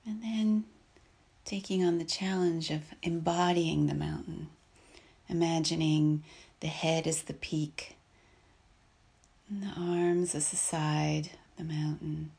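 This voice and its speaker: A woman leading a guided meditation in a soft, breathy, husky voice, calm and measured, leaving long pauses between phrases. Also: deep voice.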